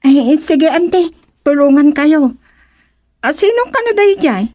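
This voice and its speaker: A woman's voice: high-pitched, expressive, slow, mellow. A woman playing an obliging young relative in a radio drama. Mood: willing, curious.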